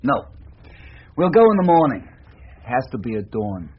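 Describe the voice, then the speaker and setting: An actor playing a laid-back, soothing hippie man in a radio drama, unhurried and sparing with words. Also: mid-range voice, big pitch swings, dull audio, slight background noise, natural voice. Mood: calm.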